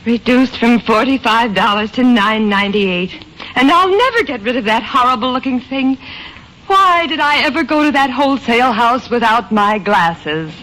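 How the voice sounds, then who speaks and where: An actress playing a polite milliner in an old-time radio comedy, with courteous sales talk. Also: female voice, fairly high pitch, expressive, normal speed, fairly bright tone. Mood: dismayed. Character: polite woman milliner, wry and eager to sell. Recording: noisy old radio recording.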